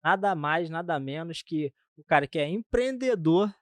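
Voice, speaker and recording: A man's voice: a little high, animated, normal speed. A man from Brazil co-hosting a casual podcast, playful and often echoing the others. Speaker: podcast co-host. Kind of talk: casual chat.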